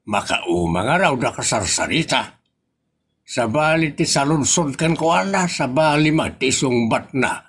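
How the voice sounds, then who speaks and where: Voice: medium pitch, expressive, unhurried, husky. An actor playing a grumbling, impatient older Filipino man with an Ilocano accent in a comedy audio drama.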